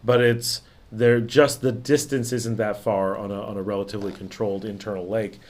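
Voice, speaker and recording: Slightly raspy middle-aged man chatting. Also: lowish voice. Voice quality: raspy tonight. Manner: calm, unhurried, mellow talker. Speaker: livestream host. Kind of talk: casual chat.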